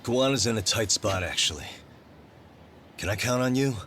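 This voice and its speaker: An actor playing a gritty private detective in a video game, calm, driven and dryly matter-of-fact. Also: male voice, medium pitch, moderate pace, slight background noise, natural voice. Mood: wry, pressed.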